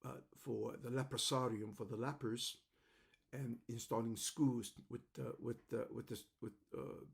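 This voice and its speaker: Gravelly older man, rambling and reflective. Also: mid-range voice, level tone, a little slow. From China (Shanghai and Macau). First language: Cantonese. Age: 70s. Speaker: storyteller addressing his online audience. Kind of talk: personal video monologue.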